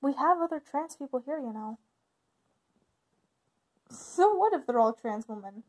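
A woman's voice: high voice, lively intonation, a little slow. A woman acting as a caring, informal big sister and young witch, hesitant and reassuring, in a conversational ASMR roleplay. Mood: earnest, worried.

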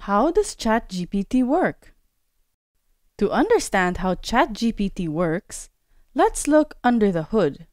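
A woman narrating a scripted screen-recorded tutorial as a course instructor, explaining step by step in a clear way. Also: medium pitch, darkish tone, dry recording, studio quality.

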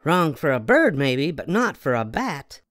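A man's voice: fairly high pitch, big pitch swings, measured pace, fairly bright tone, no echo, studio quality, voice pushed up. A man voicing a blunt, cocksure bat stranger in a storybook. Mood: blunt, certain.

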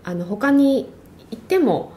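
A woman co-hosting a casual radio chat, telling stories gently with many small fillers. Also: a little high, sing-song, slow, slight background noise. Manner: gentle, soft-spoken storytelling with frequent fillers. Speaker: co-host.

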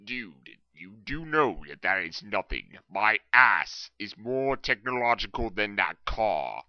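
A young man performing comic amateur voice lines in a goofy, deliberate way. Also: on the low side, very animated, no room sound. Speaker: amateur voice actor. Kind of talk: hammy comic performance of script lines and ad-libs. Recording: dull, lo-fi close mic.

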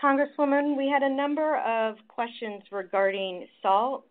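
A woman's voice: high-pitched, flat delivery, measured pace. A woman moderating a telephone town hall, introducing callers and reading out questions. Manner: reads questions out clearly. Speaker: staff moderator. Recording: tinny phone line.

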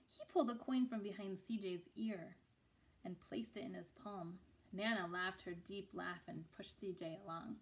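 Woman, thirties, middle-pitched, children's storytelling, reading aloud. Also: steady tone, moderate pace, poor audio. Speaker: picture book reader. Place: small room indoors.

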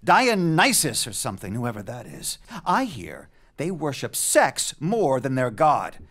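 A man's voice: medium pitch, wildly swooping pitch, a little slow, fairly bright tone, heavily acted. An actor playing an arrogant, authoritarian king with a commanding, sneering delivery in a dramatic stage reading of Greek tragedy. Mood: scornful. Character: arrogant, authoritarian young male king, scornful and enraged. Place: stage in a theatre. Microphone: tabletop microphone.

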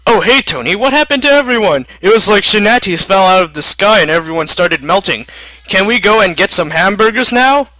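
A man voicing a loud, boastful, childish young hero who exclaims bombastically in a comic story. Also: high-pitched, expressive, fairly quick, no echo, pitched above the performer's own voice, poor audio. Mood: excited.

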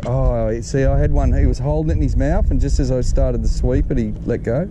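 A man's voice: mid-range voice, brisk. A man with an Australian accent, chatting casually while fishing from a small boat on a river, keen when a fish bites. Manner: laid-back, chatty, excited about catches. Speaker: fishing vlogger. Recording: loud wind and water noise.

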